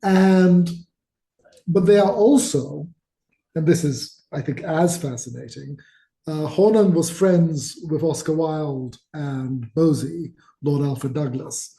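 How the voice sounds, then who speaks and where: A man's voice: a little high, slow pace, vocal fry, dark tone. A middle-aged man from Malaysia, an author talking as an interview guest, telling his stories with enthusiasm. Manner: enthusiastic, storytelling. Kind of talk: conversational interview. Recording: roomy echo.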